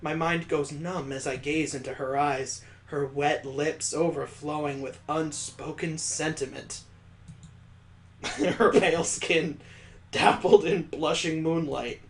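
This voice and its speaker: A man's voice: on the high side, slow. Man reading as a brooding, obsessive young woman. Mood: obsessive yearning.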